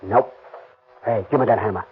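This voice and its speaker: A man plays a brash burglar in an old radio crime drama, gruff and impatient. His voice sits low. The sound is thin and tinny, with hiss behind. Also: normal speed, close to an everyday voice. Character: brash male burglar breaking in.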